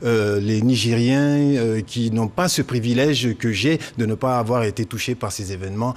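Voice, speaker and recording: Brief: young man from Niger, earnest, halting, studio interview guest. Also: mid-range voice. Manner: hesitant, many fillers and restarts, earnest. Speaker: comedian guest.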